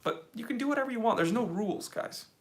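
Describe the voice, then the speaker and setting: A man hosting a casual live-stream Q&A, reading chat questions aloud and answering in a relaxed, slangy way. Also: very high voice, fast. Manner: laid-back, slangy, reads chat aloud.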